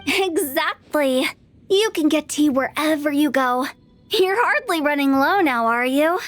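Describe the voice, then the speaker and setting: A woman's voice: high voice, lively intonation, a little slow, no echo, soft background music. A woman voicing a playful, inquisitive teenage girl in a video game, with a teasing, theatrical manner. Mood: breezy, curious.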